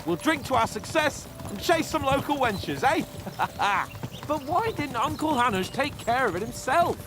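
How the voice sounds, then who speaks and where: An actor voicing a haughty, high-spirited young nobleman in a video game, with a flippant, teasing manner. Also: very animated, measured pace, heavily acted. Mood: excited, boastful.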